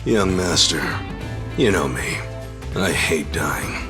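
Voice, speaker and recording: An actor playing a plucky, streetwise hero in a video game, speaking in an earnest, familiar way. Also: male voice, rumbling low, animated, slow, music in the background, exaggerated voice. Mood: firm, earnest. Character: plucky, loyal, plain-spoken streetwise hero, adult man. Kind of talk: English video game voice acting.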